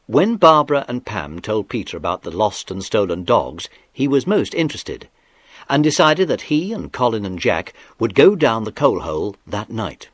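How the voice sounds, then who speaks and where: A man narrating a children's story in an expressive, dramatic scripted style. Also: fairly low pitch, moderate pace, slightly rough, dry recording.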